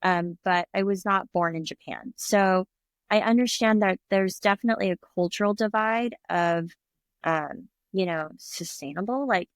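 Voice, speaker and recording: A middle-aged woman talks easily at a medium pitch, chatty and quick to laugh. She slips into playful impressions of other people as she tells her stories, and her voice sits close and dry with no room sound. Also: dry recording. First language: English. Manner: chatty, laughs often, acts out quoted voices. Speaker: restaurant owner, guest. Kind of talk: casual interview conversation.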